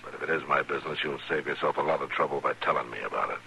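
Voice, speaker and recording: Very deep-voiced frontier marshal. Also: male voice, vocal fry, exaggerated voice. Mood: calm, probing. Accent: American. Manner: measured, quietly authoritative, plain-spoken. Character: firm, calm frontier marshal. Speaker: actor in radio western. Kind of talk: old-time radio western acting. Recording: noisy old-time radio recording.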